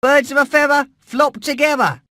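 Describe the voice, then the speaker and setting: A man voicing an eager, dim-witted rat sidekick who chimes in excitedly, in a cartoon video game. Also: very high voice, normal speed, studio quality. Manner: excitable, echoing the boss.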